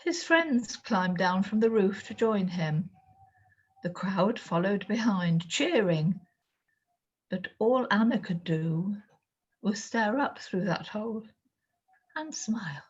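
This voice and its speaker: A woman reading a children's story aloud in an expressive storytelling style. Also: mid-range voice, slow pace, pauses often, husky, dull audio. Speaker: storyteller, reader.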